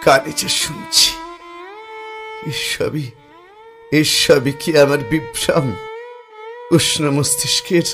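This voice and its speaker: Man acting an awestruck, slow-speaking haunted poet. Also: a little high, fairly bright tone, exaggerated voice. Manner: halting, breathlessly dramatic, repeating phrases. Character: awestruck, sorrowful male poet haunted by a ghost. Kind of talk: Bengali audio horror drama, first-person dramatic narration. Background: violin music.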